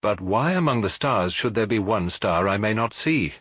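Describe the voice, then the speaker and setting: A British man voicing a haughty, fiery young modern woman in an audiobook, lofty, brisk and emphatic. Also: lowish voice, expressive, a bit bright, no room sound. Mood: lofty, defiant. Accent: British English.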